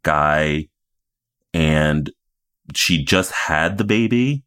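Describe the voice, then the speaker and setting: Man, low pitch, casual podcast co-host, comedic recap chat, playful, sweary. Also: lively intonation, very slow, a bit bright, clean tone. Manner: playful, crude humor, swearing.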